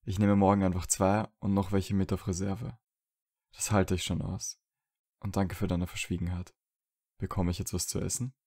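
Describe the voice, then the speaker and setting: Male actor as troubled, wry young wizard for audio drama. Very low, even delivery, dry close sound. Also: moderate pace, no room sound. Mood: strained, earnest. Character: troubled young wizard, dry and teasing, trying to quit drinking. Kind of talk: fan fiction audio drama dialogue.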